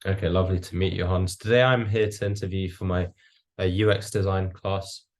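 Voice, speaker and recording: A man's voice: low voice, fairly even intonation, brisk. A young man working through a semi-scripted interview as a student interviewer. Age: young adult.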